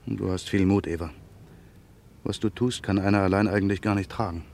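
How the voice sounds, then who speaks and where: Casting: young wartime resistance man in a radio drama; low, gravelly, hushed, tender and wry. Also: steady tone, fairly quick. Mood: earnest, tender. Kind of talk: German radio play acting, intimate dialogue and narration. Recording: faint background noise.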